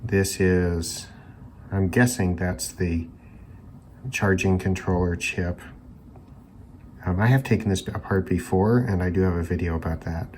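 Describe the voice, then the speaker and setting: A man hosting an electronics teardown video, talking casually and unscripted, haltingly thinking aloud as he examines parts. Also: low-pitched, steady tone, a little mellow, slight background noise. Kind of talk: casual unscripted hands-on demonstration talk.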